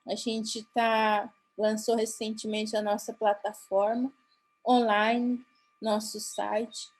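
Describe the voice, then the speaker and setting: A Brazilian-accented woman whose first language is Guarani, speaking over a video call as a conference keynote speaker. Her voice sits a little high, and she talks slowly and earnestly. She often stops and restarts mid-phrase. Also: little pitch movement. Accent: Brazilian Portuguese. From Mato Grosso do Sul, Brazil. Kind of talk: formal conference talk.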